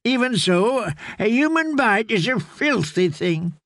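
A man doing a gentle old ragged man. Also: very high voice, sing-song, a little slow, no room sound, studio quality, voice pushed up, full character voice. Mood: soothing. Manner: courteous, soothing.

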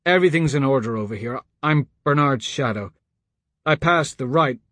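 A man voicing an anxious young man in charge, pleading and pressing out hurried instructions as in an audiobook. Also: medium pitch, lively intonation, pushed and bright, no room sound, studio quality. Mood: nervous, defensive. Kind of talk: audiobook character dialogue.

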